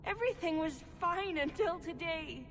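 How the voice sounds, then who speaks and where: A woman's voice: very high-pitched, expressive, moderate pace. An actress playing a timid, obedient young slave woman in a video game, meek, naive and pleading. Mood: anxious, pleading. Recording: clearly noisy ambient background.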